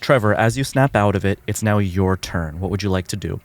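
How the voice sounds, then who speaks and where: A man narrating as game master, describing scenes in a calm, measured way. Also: on the low side.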